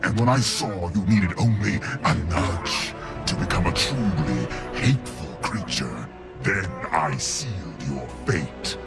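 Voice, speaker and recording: An actor playing a boastful, hateful villain and manipulator, declaiming theatrically in triumph. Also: male voice, sits low, expressive, takes it slowly, gravelly, full character voice. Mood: gloating fury. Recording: loud music underneath.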